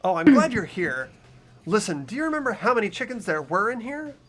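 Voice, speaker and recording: A man's voice: high voice, sing-song, brisk, fairly bright tone, faint music behind. A man voicing an earnest, puzzled teenage boy who thinks aloud while investigating in a visual novel game. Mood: curious, musing. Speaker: amateur voice actor in a fan playthrough. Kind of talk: fan-dubbed visual novel acting and narration.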